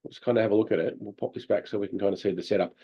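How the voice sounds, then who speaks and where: A man coaching amateur hockey players over a call, talking through game footage in an animated way and laughing often. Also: lowish voice, very fast, husky, dull audio. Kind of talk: video review of game footage. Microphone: video call.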